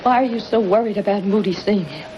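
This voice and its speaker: An actress playing a sardonic, world-weary gangster's moll in a 1950s television crime drama, with a mocking, wry manner. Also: female voice, mid-range voice, fast talker, a little hiss or hum, lightly acted. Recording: dull vintage broadcast audio under loud music.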